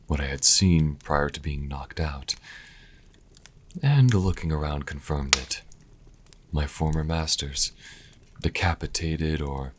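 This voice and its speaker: A man playing a proud, wry vampire healer in an intimate audio roleplay, speaking to the listener with haughty, deliberate pauses. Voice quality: very deep, husky, breathy at times. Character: sassy male vampire healer, proud, wry, grudgingly charitable.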